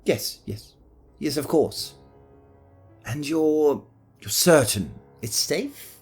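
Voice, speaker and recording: A man's voice: on the high side, lively intonation, extremely slow, darkish tone, slightly rough, soft background music, exaggerated voice. An actor playing a cowardly, greedy middle-aged male noble who frets and stammers, then breaks into panicked pleading in a fantasy audio drama. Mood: nervous.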